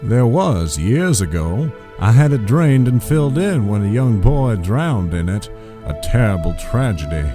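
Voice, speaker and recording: A man's voice: mid-range voice, very animated, measured pace, warm tone. An actor in an audio drama playing a controlling middle-aged husband and father, formal and placating with an edge of menace. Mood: guarded. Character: controlling, smooth-talking wealthy patriarch, husband and father. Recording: music under the dialogue.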